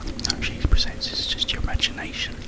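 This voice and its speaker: A man speaking in a breathy whisper, rambling informally through a story in ASMR style. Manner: slow, halting, informal storytelling. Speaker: ASMR content creator.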